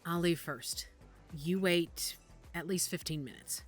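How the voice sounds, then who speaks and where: A woman's voice: on the low side, measured pace, husky, faint music behind. A woman playing a composed, cautious character with a discreet manner in an audio drama. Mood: businesslike.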